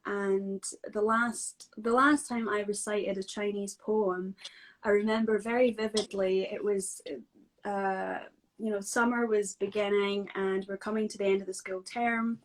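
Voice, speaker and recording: A woman with a Scottish accent talks casually as a guest from home. She speaks at an ordinary middle pitch, pausing often with fillers and restarting her sentences. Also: flat delivery, rough recording. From Scotland. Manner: chatty and hesitant, many fillers and restarts. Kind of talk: casual video-call interview.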